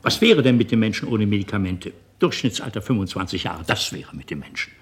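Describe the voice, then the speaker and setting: A man's voice: on the low side, expressive, brisk, breathy edge. A man playing a middle-aged company boss and father in a German radio drama, self-righteous and manipulative, with a patronizing, rhetorical manner. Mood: indignant. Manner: rhetorical, patronizing, swinging from reasoned to indignant.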